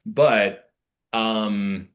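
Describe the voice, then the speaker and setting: A male interview guest with a fairly low voice speaks casually and earnestly. He feels his way thoughtfully through sentences, pausing and stressing words deliberately. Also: steady tone. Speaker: playwright guest.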